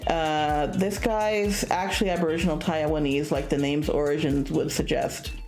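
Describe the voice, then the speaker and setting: A woman streamer reading a visual novel aloud in a casual, expressive narrating style. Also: low-pitched, normal speed, faint music behind.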